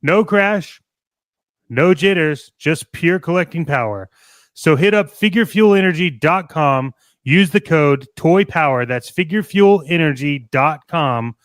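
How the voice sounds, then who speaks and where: Man doing an ad read, crisp voice, bit high, scripted promo, dry sound. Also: expressive, a little slow, dry recording. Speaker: advert announcer.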